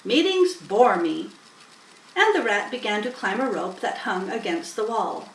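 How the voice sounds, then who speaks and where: A woman's voice: mid-range voice, very animated, moderate pace, a bit bright. A woman narrating a children's storybook aloud. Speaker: storybook reader. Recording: roomy, distant mic, faint hiss.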